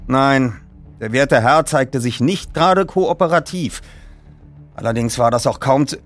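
A man's voice: mid-range voice, measured pace, faint music behind, pitched above the performer's own voice, lightly acted. A man voicing a polite, self-assured teenage boy detective in an audiobook, speaking in formal, precise wording. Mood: considered.

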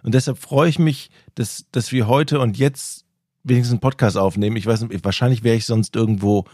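Dark husky man with a cold. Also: medium pitch, fairly even intonation, fairly quick, no room sound, studio quality. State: slight cold, frog in throat. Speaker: podcast co-host. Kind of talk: casual chat.

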